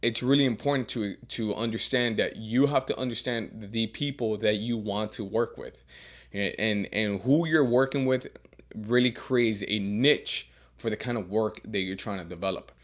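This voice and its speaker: A man who coaches strength and teaches as a professor, giving earnest career advice with a deeper voice and frequent repeated words as he restarts. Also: moderate pace. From Miami. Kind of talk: advice monologue.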